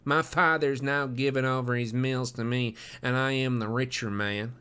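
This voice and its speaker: A man's voice: medium pitch, fairly even intonation, normal speed, bright, forceful tone, clear, resonant tone, close to an everyday voice. Man doing a nasal, smug young zealot. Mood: boastful. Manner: sanctimonious, self-satisfied. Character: smug, coarse, bull-necked young zealot suitor.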